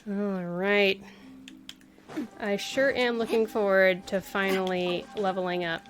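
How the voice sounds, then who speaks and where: A woman's voice: medium pitch, steady tone, slow pace. A woman giving casual livestream commentary as she plays a video game, with playful exclamations and quaint interjections. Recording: game music behind the voice.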